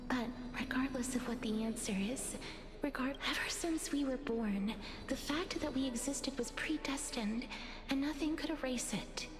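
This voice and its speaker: A woman playing an earnest, gentle young fantasy heroine in a video game, speaking in a measured, soft and solemn way. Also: high voice, natural voice. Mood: solemn, tender. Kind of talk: video game voice acting, scripted drama. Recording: loud background music.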